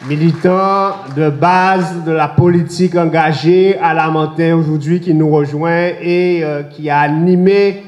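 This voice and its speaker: Hoarse mayor in an echoing room. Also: male voice, a little high, fairly even intonation, a little mellow, muffled recording, some chatter behind. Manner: measured, addressing an assembly with thanks and invitations. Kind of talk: formal closing speech. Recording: roomy echoing council chamber.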